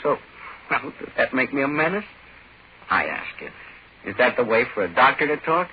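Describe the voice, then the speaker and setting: An actor playing an excitable, nervous middle-aged businessman in 1940s radio drama, stammering and protesting as he talks. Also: mid-range voice, normal speed, lightly acted. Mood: amused, indignant. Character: excitable bald pot-bellied little businessman, nervous and incredulous. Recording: dull, noisy 1940s radio recording.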